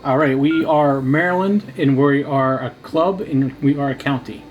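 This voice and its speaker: Man muttering over loud radio static. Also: medium pitch, normal speed, dull audio. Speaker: amateur radio operator and vlogger. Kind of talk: casual running commentary while operating a radio. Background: noisy radio static.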